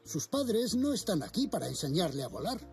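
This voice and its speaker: An old cartoon zebra grandfather. He is kindly and reassuring, explaining things patiently with lively, melodic swings in his voice. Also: high voice, a little fast, vocal fry, faint music behind, exaggerated voice. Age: older. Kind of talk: animated children's cartoon dub.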